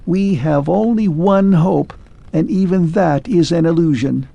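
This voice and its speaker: A man voicing a melancholy clockwork man who speaks in a shrill, faint, pleading way. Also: a little slow, vocal fry, mellow, dry recording, dull audio. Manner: pleading, wistful, grows faint and distant.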